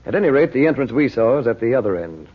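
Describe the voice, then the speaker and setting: A composed, commanding magician in an old radio serial. His mid-pitched voice moves widely up and down while staying measured and authoritative. The recording is dull and aged. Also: very animated, husky. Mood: firm, controlled.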